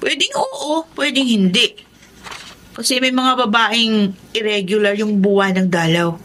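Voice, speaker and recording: An actress playing a studious, scolding teenage sister in a radio drama, questioning in a pressing, suspicious manner. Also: female voice, fairly high pitch, lively intonation, measured pace, fairly bright tone.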